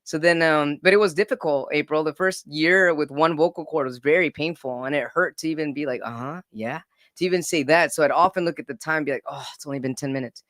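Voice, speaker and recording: A man's voice: fairly high pitch, fast, a bit bright, dry recording, clean studio sound. A man in his forties telling his own story as a podcast guest, earnest and often repeating words as he starts a sentence. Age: 40s. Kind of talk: casual personal storytelling.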